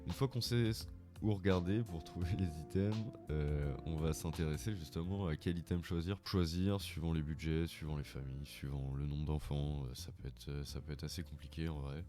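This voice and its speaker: Male vlogger with a cold, very low voice, loose rambling delivery, music bed underneath. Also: normal speed. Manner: informal, rambling. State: has a cold. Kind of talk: casual video tutorial. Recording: music playing behind the voice.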